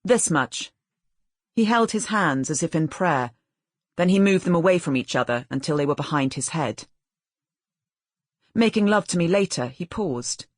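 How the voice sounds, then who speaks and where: Husky, expressive woman narrating. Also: fairly low pitch, normal speed, dry recording, studio quality. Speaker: audiobook narrator. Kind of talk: literary audiobook narration.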